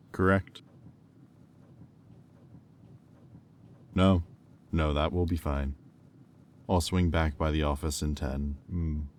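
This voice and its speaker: A man playing a haughty, menacing demon in roleplay audio, speaking in a condescending, deliberate, taunting drawl. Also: very deep voice, a little mellow, a little hiss or hum. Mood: cool, businesslike. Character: haughty, menacing male demon buyer, coolly cruel and mocking. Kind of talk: slow, intimate roleplay audio acting.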